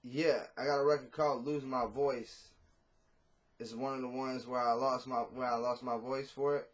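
A man's voice: medium pitch, level tone, normal speed. A man in his thirties, a rapper talking casually and bluntly in an interview, cursing freely, on a video call from a small room at home. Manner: blunt, profane, laid-back.

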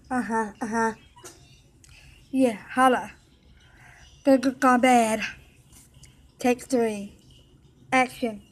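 Brief: young woman, slow and flat delivery, chatty webcam vlogger singing along to pop songs. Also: fairly high pitch. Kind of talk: casual webcam singing vlog. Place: indoor office room with tiled ceiling. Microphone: laptop webcam mic, wearing headphones.

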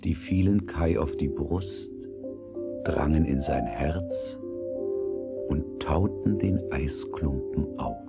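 A man narrating a scripted fairy tale in a measured, expressive storytelling manner. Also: a bass voice, creaky. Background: music.

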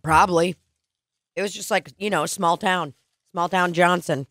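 A woman in her late twenties rambles through casual stories on a podcast, her voice bright and forward. She talks between bites in a small studio, close to a broadcast mic. The sound is dry, with no room echo. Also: lowish voice, fairly even intonation, normal speed. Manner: rambling, laid-back storytelling, eating while talking. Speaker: podcast co-host. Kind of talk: casual chat. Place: small studio with red curtains. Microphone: studio broadcast mic with headphones.

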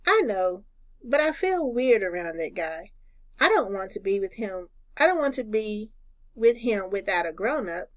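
A woman's voice: medium pitch, very animated, unhurried, dry recording. A woman voicing a sensible older boy who patiently instructs, in a children's storybook. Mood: reassuring. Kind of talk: children's storybook read-aloud.

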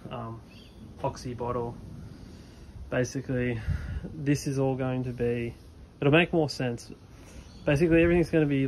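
A man's voice: mid-range voice, a little hiss or hum, rough recording. A young man showing a van fit-out in a casual vlog, rambling with lots of ums while filming on a phone outdoors. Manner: rambling, many ums and fillers. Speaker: HVAC technician vlogger. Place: outdoors beside a van in a driveway.

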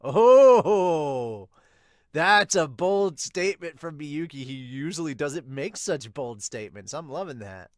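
A man's voice: fairly high pitch, expressive, slow pace, dry recording, clean studio sound. A man in his thirties giving enthusiastic, casual reaction commentary as the host of a review channel. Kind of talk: casual reaction commentary with read-aloud dialogue. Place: small home room indoors.

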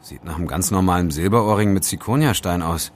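A man's voice: low-pitched, quick pace, a little hiss or hum, close to an everyday voice. An actor playing a sceptical, teasing young male student sidekick in an audio drama, casual and wry. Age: young adult. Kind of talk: German audio drama acting.

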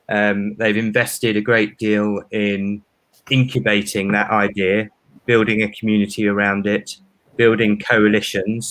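A man's voice: on the low side, a bit bright. A man in his thirties on a video call panel, earnestly explaining an idea with small restarts. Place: home room. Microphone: webcam on a video call.

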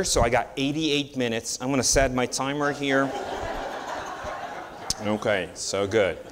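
A man's voice: mid-range voice, slight background noise. A man in his thirties from Romania, with a Romanian accent, giving a chatty, story-filled guest sermon from a church pulpit. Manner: chatty, storytelling, with frequent restarts and growing excitement. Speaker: guest preacher.

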